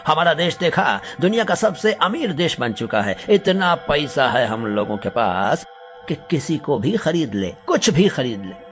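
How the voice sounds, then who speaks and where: A man voicing a boastful, scornful army officer in an animated story, taunting and full of swagger. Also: sits high. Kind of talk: animated Hindi story voice acting.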